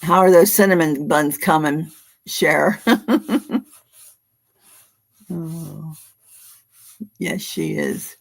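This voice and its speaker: A woman's voice: low-pitched, fairly even intonation, very slow, gravelly, pauses often. A woman with a Philadelphia accent giving chatty, affectionate tarot card readings on a livestream, with frequent ums and restarts.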